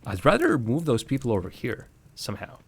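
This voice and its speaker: A man's voice: mid-range voice, huge pitch swings, fairly quick, slightly rough. A young man from Latvia, not a native English speaker, thinking aloud as he plays a game on a livestream and answers chat. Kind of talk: casual live gameplay commentary.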